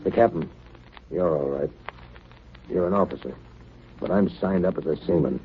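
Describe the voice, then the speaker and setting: A man's voice: low-pitched, normal speed. An actor playing a resentful, complaining newspaperman stuck on a polar expedition in a 1930s radio drama. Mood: aggrieved, defiant. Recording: muffled, tinny, noisy 1938 radio recording.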